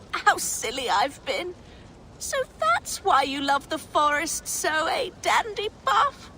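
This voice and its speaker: Dying, doting old lady for a video game: piercingly high, very slow, childlike and tearful, faint noise behind. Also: huge pitch swings, bright, forceful tone, heavily acted, rough recording. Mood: fond, fading. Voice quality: coughing, breathy cries. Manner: childlike baby talk, swooping and halting. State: weak, crying, coughing. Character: dying, doting old woman fussing over her pet fox. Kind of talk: dramatic video game acting.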